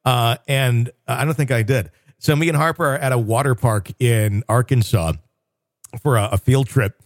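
A man's voice: mid-range voice, moderate pace, no echo, studio quality. A middle-aged man hosting a podcast, telling stories in a loose, chatty way and laughing often. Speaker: podcast host. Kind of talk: casual chat.

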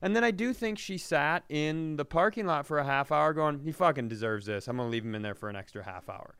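A man with a clear, resonant voice set a little high, recorded very dry. He tells casual comic stories as a podcast co-host, animated and exasperated, swearing and making fun of himself. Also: brisk, no room sound. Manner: self-deprecating, profane. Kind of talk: comedic storytelling in casual podcast chat.

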